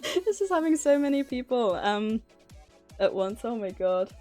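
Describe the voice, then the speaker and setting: A woman's voice: a little high, very animated, a little slow, dark tone, soft background music. A woman livestreamer chatting casually with her viewers in a flustered, nervous, giggly and effusively thankful manner. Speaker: livestreamer and author hosting a stream. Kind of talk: casual live chat with viewers. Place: livestream, indoors at a computer.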